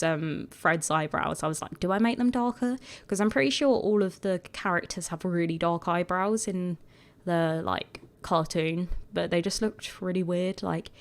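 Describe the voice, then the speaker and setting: A woman with a croaky voice, chatting casually and rambling a bit as she talks over her video. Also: fairly low pitch. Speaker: gaming video creator.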